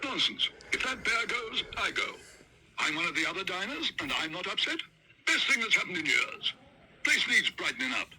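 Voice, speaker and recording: Man playing a bluff old gentleman tycoon in a storybook, booming in short clipped bursts. The voice is bright and lively in pitch. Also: moderate pace, slight background noise, heavily acted. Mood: hearty. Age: older. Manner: loud, blustery, clipped. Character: bluff, hearty old male marmalade tycoon.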